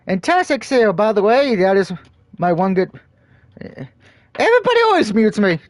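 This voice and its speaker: A man's voice: high voice, big pitch swings, moderate pace, no echo, studio quality. A man streaming a game, chatting casually between read-aloud passages with crude, joking banter. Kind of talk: casual let's-play commentary and reading aloud.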